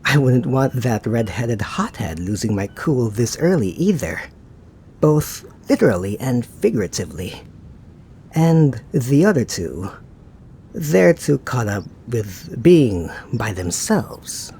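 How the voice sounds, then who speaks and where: A man playing a suave, teasing, laid-back young knight in an ASMR roleplay, talking to the listener in an unhurried, musing way. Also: mid-range voice, big pitch swings, darkish tone, slight background noise. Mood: soft, coaxing.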